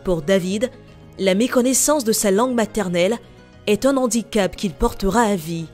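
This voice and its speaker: A woman from Côte d'Ivoire, reading a scripted TV news voice-over in an even, measured way. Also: medium pitch, soft background music. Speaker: TV reporter.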